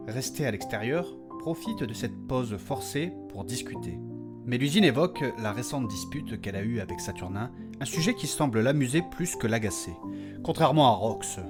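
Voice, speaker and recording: A man narrating a scripted recap of a fantasy audio drama in a dramatic storytelling style. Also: mid-range voice. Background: plucked guitar music.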